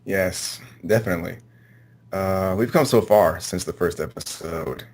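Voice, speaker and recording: A man with a low voice speaks as a guest in a casual interview. He is hesitant, feeling his way through brief answers in halting phrases. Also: a little mellow. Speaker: interview guest, amateur voice actor.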